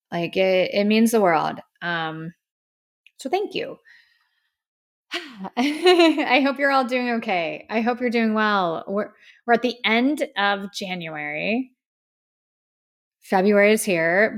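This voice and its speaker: A woman signing off her podcast with a slightly hoarse, gravelly voice. She speaks at a middle pitch, earnest and effusive, letting her thoughts ramble on. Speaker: podcast host. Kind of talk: solo podcast sign-off. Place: in front of a camera. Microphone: studio microphone.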